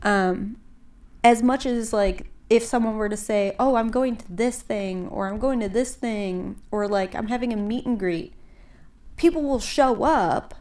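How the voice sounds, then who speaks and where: A woman's voice: a little high, darkish tone. A young adult woman hosting a podcast in casual chat, a little congested, rambling with many fillers. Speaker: podcast host.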